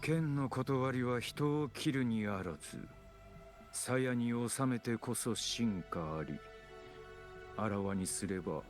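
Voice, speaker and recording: An actor playing a stern, measured official who speaks in a formal, archaic, grave manner in an anime game. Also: male voice, mid-range voice, lightly acted. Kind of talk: anime game voice acting in Japanese.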